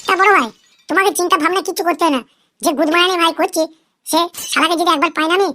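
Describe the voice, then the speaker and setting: Someone doing a high, rapid-fire cartoon gangster henchman. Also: fairly even intonation, pushed and bright, soft background music, exaggerated voice. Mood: vengeful, boastful. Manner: rambling, boastful, wheedling, swearing constantly. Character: foul-mouthed, fawning gangster henchman cartoon character. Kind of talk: comic cartoon dialogue in crude slang.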